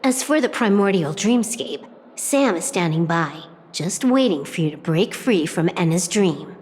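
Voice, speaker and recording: A woman's voice: fairly low pitch, animated, measured pace, a little mellow, a little hiss or hum. An actress playing a cool, blunt strategist woman in a video game, dry, unruffled and portentous. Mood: matter-of-fact. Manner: dry, matter-of-fact, portentous. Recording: clear music underneath.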